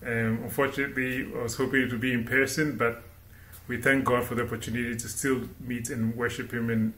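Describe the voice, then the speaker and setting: A man's voice: mid-range voice, fairly bright tone. A young man from Ghana gives an inspirational sermon as a guest speaker. He speaks with animation and keeps asking the listeners rhetorical questions. The room around him has a noticeable echo. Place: indoor room against a plain wall. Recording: roomy echo.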